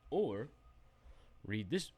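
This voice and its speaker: Low-voiced young guy rambling thru an app review, jokey and self-deprecating, no editing. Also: very animated, extremely slow, a little mellow, breathy edge. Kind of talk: unedited casual screen-share review.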